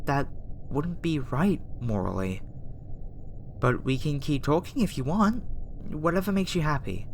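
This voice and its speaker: A kind young alien woman, acted by a female performer talks softly and hesitantly to her human subject in an intimate ASMR roleplay. Her delivery is slow and tender, with a conflicted, easily flustered temper. Background noise and ambience sit behind the voice. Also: low-pitched, expressive. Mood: gentle concern. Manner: soft, hesitant, talking directly to the listener.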